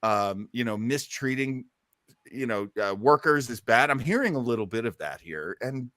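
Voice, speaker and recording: A man's voice: mid-range voice, expressive, moderate pace, pushed and bright, no echo, clean studio sound. A man hosting a casual live group commentary, jokey and self-deprecating. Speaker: host of a listening party.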